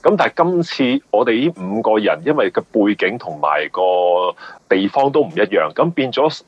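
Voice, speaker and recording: A man's voice: fairly low pitch, big pitch swings, moderate pace, breathy edge, dry recording. A man from Hong Kong with a Cantonese accent, explaining things conversationally as an interview guest over a muffled remote line.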